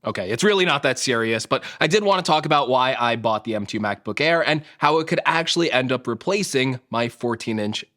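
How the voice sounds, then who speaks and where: A young man, a tech YouTuber, chatting to camera in a casual, self-mocking way, his voice a little hoarse from a sore throat. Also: medium pitch, animated, brisk, few pauses, sharp tone, soft background music.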